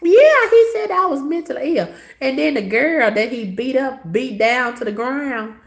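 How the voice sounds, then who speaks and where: Hoarse woman venting bluntly. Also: high voice, lively intonation, measured pace. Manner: blunt, profane, dismissive. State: hoarse, coughing. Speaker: vlogger. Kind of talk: casual storytelling to viewers.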